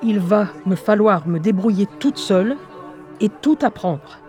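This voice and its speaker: A woman's voice: mid-range voice, animated, unhurried, mellow, natural voice. A woman playing an anxious, wry narrator who relives her schoolgirl days in a scripted audio drama, in short intimate phrases. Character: Rosette, anxious wry woman reliving being an eleven-year-old schoolgirl. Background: music.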